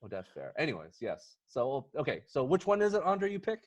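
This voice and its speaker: A man hosting a casual movie podcast, talking excitably and emphatically with a theatrical, joking streak. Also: medium pitch, a little fast. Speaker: podcast host.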